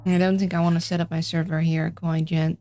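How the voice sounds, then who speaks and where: Low, gravelly Dutch-accented woman streamer. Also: level tone, a little fast, mellow. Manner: chatty, reads and answers chat, thinks aloud. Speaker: game streamer. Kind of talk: casual livestream chat while playing.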